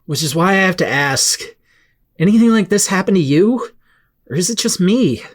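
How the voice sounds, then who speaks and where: A woman playing a rattled, excitable teenage boy with superpowers in an audio drama, speaking emotionally in casual slang. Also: on the low side, moderate pace. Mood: weary, confiding.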